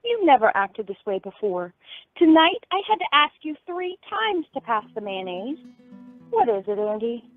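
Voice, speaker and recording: A woman's voice: a little high, sing-song, normal speed, dry recording. A woman acting an earnest, insistent young fiancée in a radio play, recorded in muffled audio. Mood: concerned, pressing. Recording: muffled lo-fi audio with music behind.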